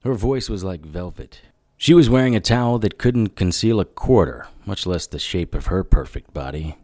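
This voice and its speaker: Very deep-voiced hard-boiled investigator. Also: male voice, expressive, normal speed, warm tone, dry recording. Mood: smitten, wry. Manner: dry noir narration, smitten. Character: male hard-boiled supernatural investigator telling his story. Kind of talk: first-person audio drama narration.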